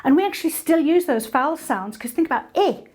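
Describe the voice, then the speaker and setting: A woman hosting a casual online singing lesson, chatty and playful with quick asides. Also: sits high, animated, darkish tone. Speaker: singing teacher and host.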